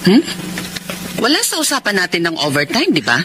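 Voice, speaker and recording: An actress playing a stern, haughty aunt, imperious and cold, with a Filipino accent in a radio drama. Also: female voice, fairly low pitch, wildly swooping pitch, a little fast, background noise. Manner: sharp, imperious. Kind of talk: Tagalog radio drama acting.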